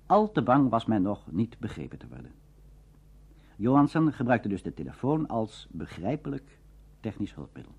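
Male narrator, formal, measured, low husky voice, very varied intonation, muffled recording. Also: mellow. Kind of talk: scripted documentary lecture on radio.

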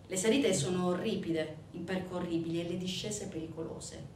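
A woman performing a dramatic scripted theatre narration, telling the story emphatically with deliberate pauses and repetitions. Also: fairly low pitch, fairly even intonation, darkish tone. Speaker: stage actress performing a theatre monologue. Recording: echoey room from a distance, faint noise.

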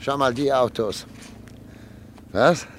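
A wistful 39-year-old doctor. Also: male voice, mid-range voice, slow, a little hiss or hum. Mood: wistful reflection. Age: 30s. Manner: wistful, reflective, quietly anxious. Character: 39-year-old doctor, proud yet uneasy. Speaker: professor and chief physician. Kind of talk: 1930s period radio drama.

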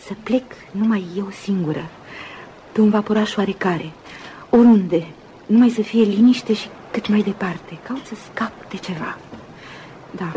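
An actress playing a gentle, dreamy, troubled young married woman in a radio drama, soft-spoken and hesitant. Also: mid-range voice, background noise, natural voice. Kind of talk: Romanian radio theatre acting.

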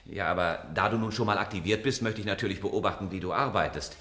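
A man's voice: low-pitched, quick pace, sharp tone. An actor playing an easygoing, slightly hesitant middle-aged father who owns a robot, in a science-fiction radio play. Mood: musing. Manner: conversational, musing, somewhat hesitant. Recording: roomy radio studio sound.

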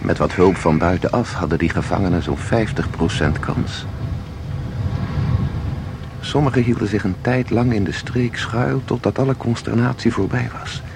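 An actor playing a mysterious, commanding guide in a Dutch radio play, explaining in measured words and giving terse orders. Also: male voice, on the low side, gravelly, noisy background. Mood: ominous. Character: mysterious, commanding male guide through a dangerous place.

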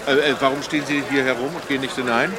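An actor playing a bewildered, impatient deputy with a brusque questioning manner in a radio drama. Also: male voice, mid-range voice, flat delivery, very fast, creaky, close to an everyday voice. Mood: irritated, puzzled. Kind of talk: German radio drama dialogue. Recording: noisy outdoor ambience.

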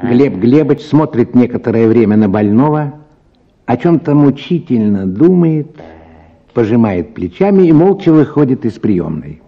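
A man narrating a short story in an expressive, scripted radio drama style. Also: mid-range voice, moderate pace, muffled recording.

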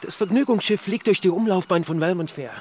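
Brief: actor as an earnest, polite android, fairly high and eager, radio sci-fi, light background noise. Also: male voice, quick pace, a little mellow, lightly acted. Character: earnest android client with a feeling chip. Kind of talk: radio drama acting. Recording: faint noise behind.

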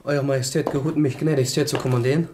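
An actor playing a proud young Prussian crown prince in a German radio play, speaking in a formal, courtly, declamatory way. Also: male voice, medium pitch, fairly even intonation, quick pace, noisy background, close to an everyday voice. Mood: formal, wounded.

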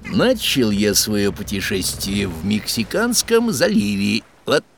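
An actor playing a boastful, whimsical adventuring sea captain in a theatrical, self-satisfied way for a children's audio drama. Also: male voice, lowish voice, big pitch swings, slow, slight background noise, heavily acted. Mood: showing off. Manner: theatrical, self-satisfied, exclaiming, talks in short questions.

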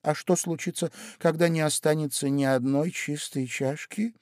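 Man voicing a young girl, polite, curious, raised pitch, earnest, storybook. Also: steady tone, normal speed, a little mellow, no room sound, studio quality, natural voice. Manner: lightly raised, earnest questioning.

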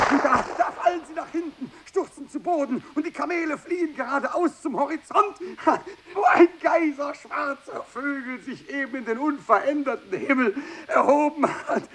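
An actor playing a tormented, fanatical renegade missionary who worships evil, in a feverish radio drama monologue from the 1960s. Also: male voice, full character voice. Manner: feverish, swinging from hushed whispering to shrill ecstatic cries. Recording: hissy 1960s radio recording.

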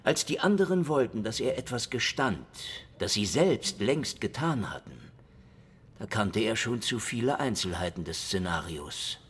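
An actor playing a cynical, menacing, foul-mouthed investigator in a noir German radio drama, talking in a mocking, wordy way. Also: male voice, fairly low pitch, expressive, normal speed, a bit bright, slightly rough, exaggerated voice. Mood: grim, resigned.

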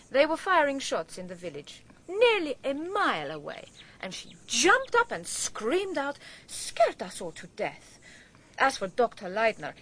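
High-voiced, gossipy wife. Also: female voice, a bit bright, heavily acted. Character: gossipy, spiteful archaeologist's wife, insinuating and theatrical. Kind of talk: radio drama acting.

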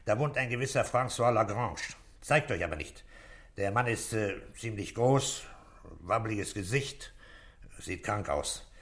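Calm middle-aged police boss in a radio drama, low voice, short commanding lines. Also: male voice, measured pace. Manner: terse, matter-of-fact, commanding. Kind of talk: German radio play acting.

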